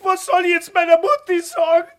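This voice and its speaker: A woman's voice: very high voice, flat delivery. A woman playing a whiny, childish apprentice boy in a radio play, tearful and drawling, with a Viennese accent. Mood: sobbing, wailing. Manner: whining, drawn-out, tearful.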